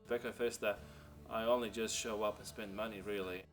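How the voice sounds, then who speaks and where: A man and parent speaking in a relaxed, rambling way about the festival. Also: fairly low pitch, brisk. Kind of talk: casual interview. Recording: distant from mic, music behind.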